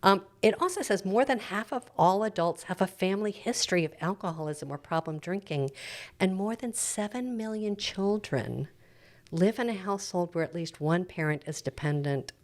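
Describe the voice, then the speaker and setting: A woman's voice: mid-range voice, animated, normal speed. A middle-aged American woman explaining things in an unscripted conversation as a podcast guest. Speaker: podcast guest discussing addiction recovery.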